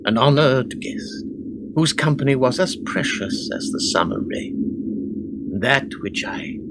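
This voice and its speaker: A man playing a proud, tormented medieval lord in a radio drama, declaiming archaic English with dramatic pauses. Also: medium pitch, huge pitch swings, creaky, heavy background noise, heavily acted. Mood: regretful, hushed. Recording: radio play with loud music behind.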